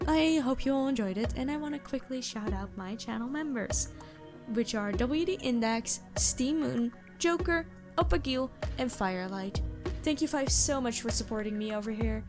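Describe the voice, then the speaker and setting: A woman talking casually to her viewers as an online audio creator signing off. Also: on the high side. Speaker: ASMR voice performer addressing viewers.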